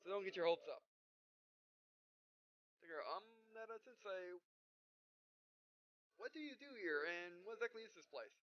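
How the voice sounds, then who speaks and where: Male let's player, high-pitched, casual, stumbles through dialogue; dull home recording. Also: lively intonation. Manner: halting, stumbling read-aloud with self-corrections. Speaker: gaming video host. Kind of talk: casual let's play, reading dialogue aloud.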